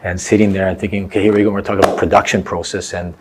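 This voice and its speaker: Guy, middle aged, low voice, casually leading a chat, bit of background noise. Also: flat delivery, rapid-fire. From Canada, grew up speaking Croatian. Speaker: company executive hosting the discussion. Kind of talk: casual conversational interview.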